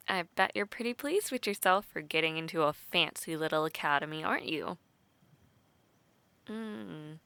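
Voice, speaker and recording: A woman's voice: medium pitch, pushed and bright, dry recording, exaggerated voice. Woman acting playful young woman, close dry sound. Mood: teasing, mocking. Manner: teasing, chuckling, conversational with sighs and pauses. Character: playful teasing young woman, soldier-to-be, childhood friend. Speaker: voice actor in audio roleplay. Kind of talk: intimate audio roleplay acting.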